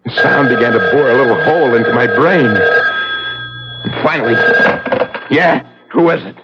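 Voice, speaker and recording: A man's voice: medium pitch, lively intonation, moderate pace, very noisy, lightly acted. An actor playing a paranoid, hot-tempered American man on the run, talking tough street slang in an old-time radio drama. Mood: anxious, suspicious. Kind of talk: old-time radio drama acting, first-person narration. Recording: dull old radio recording with dramatic music. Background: dramatic music.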